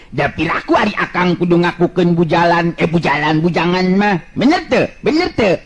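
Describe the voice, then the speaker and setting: A man playing a high, animated folk hero. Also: moderate pace, breathy edge, exaggerated voice. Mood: amused, frank. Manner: animated, chuckling, coaxing. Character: cheerful, humble, teasing male folk hero, married wanderer.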